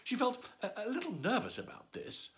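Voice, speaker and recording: A man's voice: very high-pitched, wildly swooping pitch, fairly quick, a bit bright. A man reading a children's story aloud as a bedtime storyteller, with a theatrical, swooping delivery.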